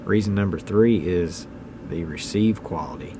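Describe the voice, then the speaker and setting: A man's voice: sits low, normal speed, background noise. A man in his thirties giving a casual, unscripted hobbyist video talk in a plainspoken, opinionated, folksy way. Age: 30s. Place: indoors at home.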